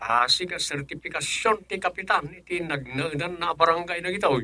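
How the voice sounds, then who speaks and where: A man's voice: on the high side, normal speed, bright, forceful tone, no echo. A male actor playing a caring but exasperated younger man looking after a senile elder in an Ilocano radio drama, with an Ilocano accent. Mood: subdued, explaining.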